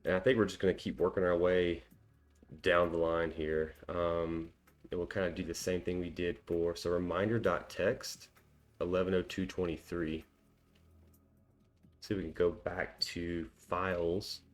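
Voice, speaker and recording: Low-voiced man thinking aloud. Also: little pitch movement, a little slow, faint music behind. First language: English. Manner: chatty and self-deprecating, lots of ums and small laughs. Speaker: cybersecurity tutorial host. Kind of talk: casual screen-recorded walkthrough, thinking aloud.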